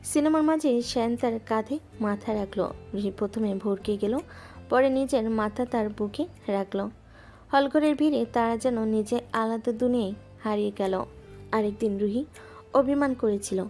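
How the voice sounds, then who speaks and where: A woman gently narrating a scripted romantic audio story. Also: a little high, normal speed. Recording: music bed underneath.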